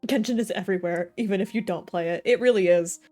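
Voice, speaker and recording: Girl streamer commenting on a game, jokey n slangy, average pitch, quiet music in the back. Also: fast talker. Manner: joking, slangy, reactive. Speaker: livestreamer. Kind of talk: casual game playthrough commentary.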